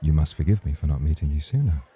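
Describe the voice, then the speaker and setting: A man plays a charming, teasing club champion in a romantic ASMR roleplay. His voice is extremely low, very dark and husky. He talks in a formal, courtly way. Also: fast, full character voice. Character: suave, teasing champion male club host, smoothly charming.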